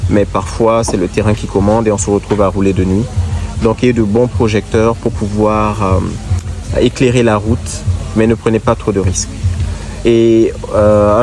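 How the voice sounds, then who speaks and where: Casting: man with a head cold, lowish voice, speaks slowly with pauses, gives practical advice straight to the viewer. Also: noisy background. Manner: measured, halting, gives advice directly to viewers. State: has a cold, congested voice. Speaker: motorcycle traveler. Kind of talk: casual reflective talk to camera.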